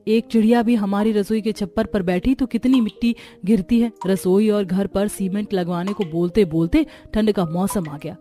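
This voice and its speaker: Woman as a plaintive, mellow-voiced daughter-in-law. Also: medium pitch, natural voice. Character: worried, complaining elder daughter-in-law in poor household. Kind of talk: cartoon story dialogue.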